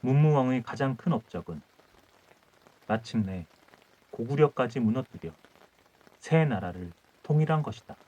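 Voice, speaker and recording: Male audiobook narrator, measured phrasing, mid pitch, slightly muffled sound. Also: animated, mellow. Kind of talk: calm bedtime storytelling.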